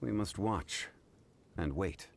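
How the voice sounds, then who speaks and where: A man voicing a calm, wise, dignified gentleman in a video game, speaking in a measured, formal way. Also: low voice, vocal fry, full character voice. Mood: composed, measured.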